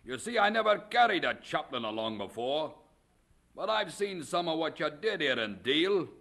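Gruff ship's captain for radio play: forceful, gravelly, grudging, plain-spoken. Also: male voice, on the high side, big pitch swings, moderate pace, heavily acted. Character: gruff, grudging sea captain with rough grammar. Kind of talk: radio drama acting.

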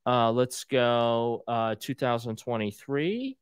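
A man's voice: mid-range voice, lively intonation, slow pace, smooth. A young man co-hosting a sports podcast from home, earnestly reading out statistics while nearly losing his voice. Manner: earnest, reading stats, defensive. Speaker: podcast co-host. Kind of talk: casual sports podcast banter. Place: small home room with display shelves. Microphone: earbuds and desk microphone on video call.

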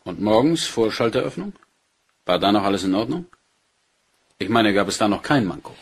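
Official, probing inspector, rough lowish male voice, crime radio drama. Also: expressive, moderate pace. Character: brisk, businesslike male police inspector. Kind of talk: German crime radio play acting.

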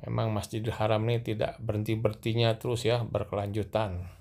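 A middle-aged man narrating a walking tour through a large mosque and onto its rooftop at night, gently addressing his viewers as he films. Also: lowish voice, level tone, fairly quick, vocal fry. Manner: gentle, repeatedly addressing viewers as sir and madam. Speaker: vlogger guiding viewers. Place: large mosque, indoor escalators then open rooftop at night.

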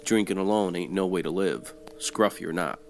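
Man playing a low-voiced hard-boiled radio detective. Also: moderate pace, gravelly, soft background music. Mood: wry, casual. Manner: wry, slangy, terse. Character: hard-boiled, world-weary 1940s-style male private detective. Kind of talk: old-time radio noir narration.

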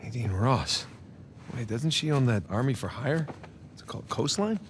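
An American man in his thirties playing a quick-witted treasure hunter in a video game, talking casually as if improvising a plan. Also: medium pitch, animated, slight background noise, close to an everyday voice. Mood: curious, wry. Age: 30s. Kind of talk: cinematic video game acting.

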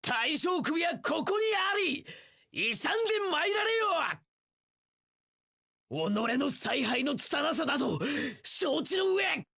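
A man's voice: extremely high pitch, big pitch swings, takes it slowly, vocal fry, pushed and bright, exaggerated voice. A man voicing an earnest, fervent young samurai general in a video game, bellowing in formal archaic warrior speech. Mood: fervent shouting. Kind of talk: anime-style video game voice acting.

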